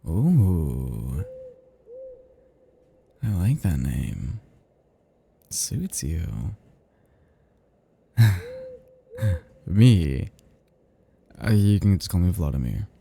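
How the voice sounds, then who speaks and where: A man playing an ancient, flirtatious, possessive vampire in an intimate ASMR roleplay, speaking to the listener softly and huskily in a halting, teasing, casually menacing way. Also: low-pitched, very animated, a little hiss or hum, full character voice. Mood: friendly, teasing. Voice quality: soft, husky, gravelly.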